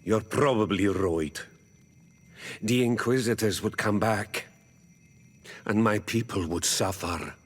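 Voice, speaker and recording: A man playing a weary, peace-loving village leader in a video game, grave, thoughtful and husky, speaking solemnly and deliberately. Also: fairly low pitch. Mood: wary, resigned. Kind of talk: video game dialogue acting.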